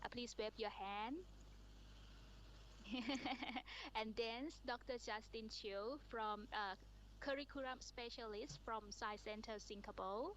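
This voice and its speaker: A woman moderating a conference session, introducing the speakers one by one with halting speech and many fillers. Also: on the high side, fairly bright tone, a little hiss or hum, low-quality recording.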